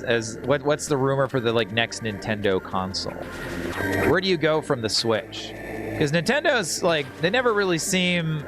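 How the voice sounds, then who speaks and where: A middle-aged man chatting casually while streaming a video game, playful and rambling. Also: mid-range voice. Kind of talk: casual live gaming commentary and chat. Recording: loud game music behind voice. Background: video game music.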